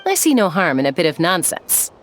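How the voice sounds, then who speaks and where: A woman voicing a composed, perceptive game character with a calm, gently teasing manner. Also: fairly low pitch, fairly quick. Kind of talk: video game voice acting. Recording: music behind.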